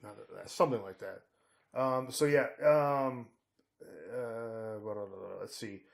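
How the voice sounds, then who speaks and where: A man's voice: lowish voice, measured pace, creaky. A man co-hosting a casual true-crime podcast, talking conversationally and often groping for words and names. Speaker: podcast co-host.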